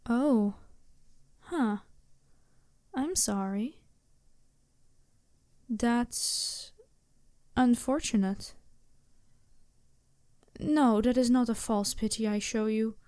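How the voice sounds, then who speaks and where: A woman voicing a poised, imperious yet compassionate demon princess in an intimate ASMR roleplay, with a deliberate, softly commanding manner. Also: fairly high pitch, no echo, studio quality. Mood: sympathetic.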